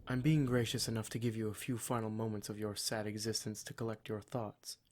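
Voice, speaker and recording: A man's voice: lowish voice, flat delivery, fairly quick, a little mellow, lightly acted. A man playing a cruel, smug demon in a scripted ASMR roleplay, speaking in a formal, mock-polite and menacing way. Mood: threatening. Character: cruel, smug male demon, taunting captor of adventurers.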